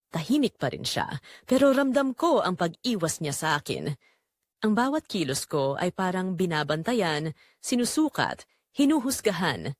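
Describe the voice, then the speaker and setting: Female narrator for emotional first-person audio drama, expressive melodic range, dry studio-like sound. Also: on the low side, dry recording.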